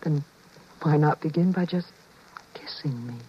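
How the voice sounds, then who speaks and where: A soft, knowing wife. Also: female voice, deep voice, measured pace, slight background noise. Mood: tender. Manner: soft, affectionate, coaxing. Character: sweet, knowing wife Marge, adult woman. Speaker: actress playing Marge. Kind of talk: 1950s radio drama acting. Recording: dull vintage 1950s radio broadcast.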